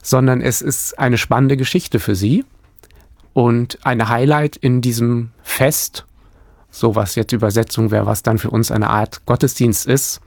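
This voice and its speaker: A man's voice: medium pitch, steady tone, measured pace, gravelly, a little mellow, dry recording. A man from Hamburg, a church planter, answering interview questions thoughtfully, often restarting his sentences.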